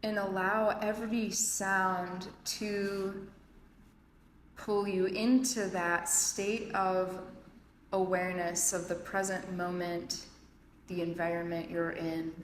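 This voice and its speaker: A woman leading a guided yoga nidra meditation as an instructor, speaking calmly and in measured phrases with long pauses. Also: lowish voice. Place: indoor urban yoga studio, recorded live. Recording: roomy echo, faint background noise, live studio. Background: faint ambient room and city noise.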